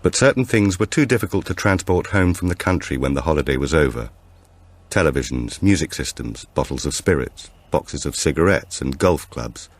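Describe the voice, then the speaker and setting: Male audiobook narrator, extremely low register, lively intonation, for dramatic thriller storytelling. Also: normal speed, dry recording.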